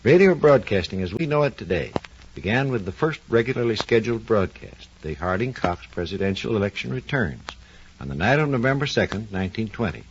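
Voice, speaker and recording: Muffled 1940s radio lecturer, animated American man. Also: on the low side, brisk, slight background noise. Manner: formal, explanatory lecturer. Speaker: research engineer presenting a science program. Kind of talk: scripted 1940s educational radio talk.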